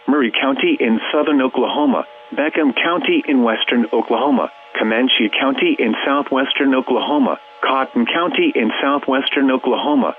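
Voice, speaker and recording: A synthetic male voice playing an automated weather radio announcer, reading an emergency bulletin in a flat, measured, mechanical way. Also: mid-range voice, close to an everyday voice. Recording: tinny narrowband radio, hum and hiss.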